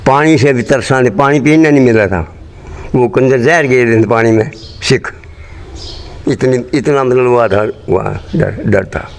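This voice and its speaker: A man's voice: mid-range voice, measured pace. A very old man with a Haryanvi accent, telling memories in a blunt, earthy, rambling way in an interview. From Rohtak, Haryana, pre-partition India. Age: 80s or older. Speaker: interviewee, partition migrant. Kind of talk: spoken reminiscence in interview. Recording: clearly noisy location sound.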